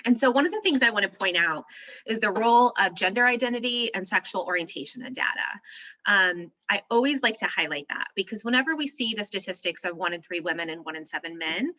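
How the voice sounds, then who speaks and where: A woman leading a virtual training over a video call, her voice slightly hoarse, explaining steadily and clearing her throat now and then. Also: mid-range voice, animated, quick pace, pushed and bright, no room sound, dull audio.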